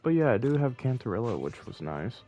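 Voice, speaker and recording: A man's voice: mid-range voice, big pitch swings, brisk, dark tone, muffled recording. A man chatting casually on a game livestream, rambling and thinking aloud, with game music behind him. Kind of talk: casual livestream chat while playing.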